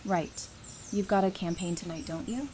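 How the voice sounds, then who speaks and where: A woman playing a wry, quick-witted teacher, speaking casually in an audio drama. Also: fairly low pitch, dark tone, a little hiss or hum, lightly acted. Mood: friendly, hesitant. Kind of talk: fan audio drama dialogue.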